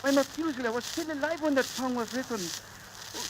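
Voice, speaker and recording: A man playing an excitable, imperious French archaeologist with a French accent, theatrical and exclamatory in the style of 1930s radio drama. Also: very high voice, a little fast. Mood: awestruck. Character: excitable, awestruck male French archaeologist, imperious with his assistant. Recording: crackly 1938 radio transcription disc.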